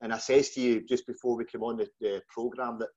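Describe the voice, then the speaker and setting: A man's voice: mid-range voice, little pitch movement, fairly quick, darkish tone, slightly rough. A man with a Glaswegian Scottish accent hosting a casual interview, talking in an informal, matey, colloquial way. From Scotland, just outside Glasgow. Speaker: podcast host. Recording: dull, lo-fi call audio.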